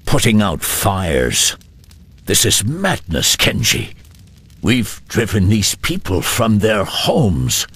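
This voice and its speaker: A grave warrior with a conscience in a fantasy game. His voice is deep and heavily rasping, slow but full of movement. He sounds reproachful and serious. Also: wildly swooping pitch, a bit bright, dry recording, full character voice. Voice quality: very husky, rough. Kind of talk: video game cutscene acting.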